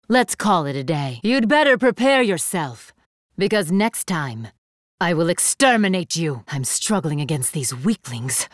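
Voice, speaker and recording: A woman's voice: on the low side, a bit bright, no echo, studio quality. An actress voicing a proud, ruthless young female warrior for an anime fighting game, with a haughty, commanding, theatrical delivery. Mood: cold disdain, then stern warning.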